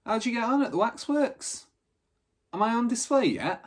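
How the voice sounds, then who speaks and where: A man's voice: very high-pitched, lively intonation, moderate pace, pitched above the performer's own voice. A man voicing a cheerful old market scrounger in a storybook, chatting in chirpy casual slang. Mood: cheerful, curious.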